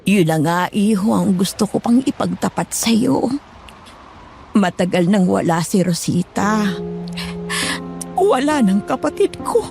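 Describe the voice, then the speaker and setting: A woman's voice: mid-range voice, very animated, a little slow, creaky, a little mellow. Woman acting a remorseful, pleading aunt over music. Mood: tearful confession. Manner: apologetic, pleading, sighing. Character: remorseful, fearful middle-aged aunt confessing family secrets. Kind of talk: dramatized horror story acting. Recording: music bed underneath.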